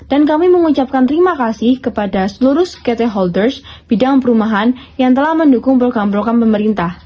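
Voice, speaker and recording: A woman's voice: on the high side, warm tone. A teenage young woman with an Indonesian accent, co-hosting a student podcast with scripted explanations and chatty links.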